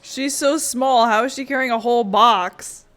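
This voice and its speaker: A woman's voice: a little high, normal speed, a bit bright, a little hiss or hum. A woman playfully voicing along with a video game, with music behind her.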